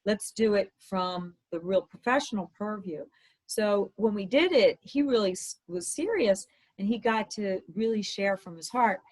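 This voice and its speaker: A woman dating coach with a slightly hoarse voice, giving upbeat advice in conversation. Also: mid-range voice, measured pace. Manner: upbeat, advising. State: slightly hoarse from talking all day.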